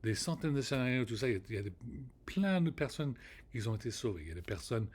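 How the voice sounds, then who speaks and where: A man's voice: medium pitch, very animated, fast talker, slightly rough. A man hosting his own podcast, talking casually in with many restarts and fillers and slipping into English words. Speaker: podcast host. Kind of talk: casual monologue to camera.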